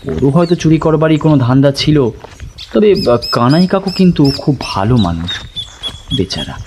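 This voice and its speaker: A man playing an anxious, talkative local gentleman who explains things conversationally in an audio drama. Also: fairly high pitch, expressive, normal speed, noisy background, close to an everyday voice. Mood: explaining.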